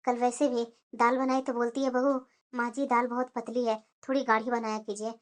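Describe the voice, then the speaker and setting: A patient middle-aged mother-in-law from a cartoon household, played by a woman. Her voice sits fairly high, coaxing and placating, with a quietly aggrieved, plaintive edge. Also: level tone, brisk, close to an everyday voice. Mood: quietly worried. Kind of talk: cartoon family drama dialogue.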